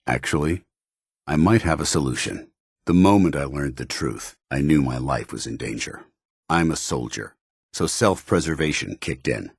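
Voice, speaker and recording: An actor playing a stoic, honour-bound android soldier in a video game, tormented yet earnest, with formal military diction. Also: male voice, a bass voice, creaky, husky, no room sound, clean studio sound, exaggerated voice. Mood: grave, resigned. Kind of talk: video game companion dialogue acting.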